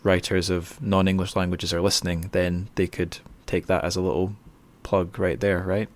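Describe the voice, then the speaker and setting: A man's voice: sits low, level tone, normal speed. A man hosting a casual podcast interview, speaking in an awkward, hesitant, self-deprecating way. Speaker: podcast host.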